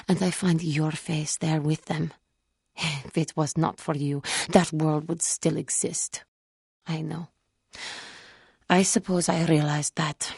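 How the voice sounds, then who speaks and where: An actress voicing a proud, solemn alien woman warrior in a video game, with formal, measured diction and a wounded, bitter temper. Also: lowish voice, slightly rough, no room sound, studio quality. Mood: grieving. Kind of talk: scripted game character dialogue.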